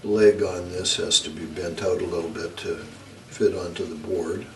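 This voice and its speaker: A man narrating a hands-on repair tutorial in a halting, unscripted way, as if working at a bench indoors. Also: sits low, steady tone. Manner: hesitant, unscripted, halting delivery. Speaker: electronics repair video host. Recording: roomy, distant mic, noisy background.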